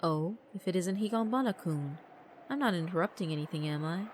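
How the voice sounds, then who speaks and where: A woman voicing a portly gentleman yokai headmaster, measured and philosophical, in a horror visual novel. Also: fairly low pitch, animated, slight background noise, natural voice. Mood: calm, reflective. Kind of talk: visual novel character narration.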